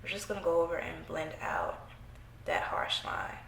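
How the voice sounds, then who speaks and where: A young woman chats through a makeup tutorial in a small room at home, her voice stuffy from a cold. She keeps a playful, chatty tone with her viewers, and her voice has a gravelly fry. The room sounds echoey, with a faint hiss underneath. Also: low voice, sing-song, moderate pace, fairly bright tone. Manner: chatty, playful, talks to viewers while working. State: congested from a cold. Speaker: beauty vlogger. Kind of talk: casual makeup tutorial chat.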